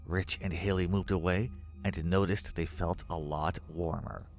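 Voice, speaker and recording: A man's voice: low voice, fairly even intonation, measured pace, soft background music. A man narrating a scripted horror story.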